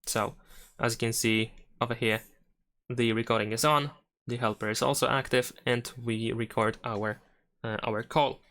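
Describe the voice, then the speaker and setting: A man's voice: mid-range voice, steady tone, normal speed, pushed and bright. A man hosting a tech tutorial, explaining steps in a casual, easygoing way as he goes along. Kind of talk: casual step-by-step tutorial narration.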